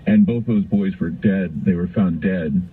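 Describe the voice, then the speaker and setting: A man's voice: low-pitched, measured pace, husky. A middle-aged American man hosting a call-in radio show, telling stories in a rambling, conversational way that circles back on phrases. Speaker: radio talk show host. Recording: tinny, narrow-band radio sound.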